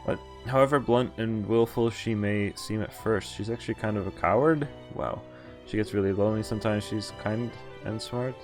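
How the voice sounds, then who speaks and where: Low voiced guy reading visual novel text out loud on stream, music playing behind him. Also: normal speed. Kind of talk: reading game text aloud with casual reactions.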